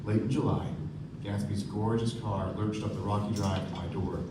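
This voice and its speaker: A man's voice: fairly low pitch, steady tone, normal speed, natural voice. Polite young guy narrating a radio play man acting it, echoey stage, far off mic. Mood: measured, reflective. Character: Nick, polite, observant young gentleman narrator. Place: theater stage before an audience. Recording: echoey stage, distant mic, noisy room.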